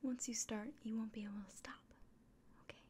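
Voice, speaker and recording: A woman voicing a kindly, earnest elven innkeeper in a gentle, breathy, unhurried whisper for an ASMR fantasy roleplay. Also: fairly high pitch, flat delivery, slight background noise, close to an everyday voice. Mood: gentle warning.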